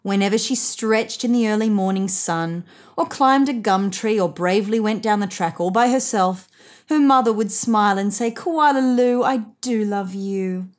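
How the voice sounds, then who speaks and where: A woman in her thirties reading a picture book aloud to children in an expressive, measured way. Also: medium pitch. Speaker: storybook reader. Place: indoors, seated on a sofa.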